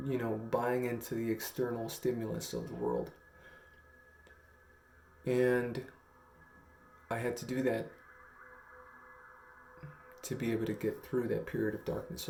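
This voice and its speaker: A young man talking reflectively to camera in a slow, halting, earnest way with long pauses. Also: lowish voice, gravelly, darkish tone, a little hiss or hum. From Guam. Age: young adult. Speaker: storytelling vlogger. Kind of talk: reflective personal talk to camera. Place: small room at home, indoors. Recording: roomy echo, faint music.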